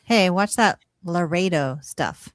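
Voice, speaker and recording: A woman's voice: on the low side, expressive, takes it slowly, no room sound. A woman playing a cheeky, teasing young pilot in a live script read. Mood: defensive.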